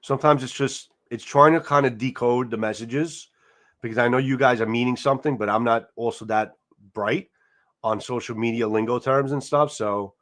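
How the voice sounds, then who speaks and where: A man's voice: fairly low pitch, a bit bright. A man with a New York Italian-American accent hosting a casual livestream, rambling through viewer comments with repeated words and casual swearing. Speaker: livestream host. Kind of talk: casual live chat reading comments. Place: home, seated in a chair.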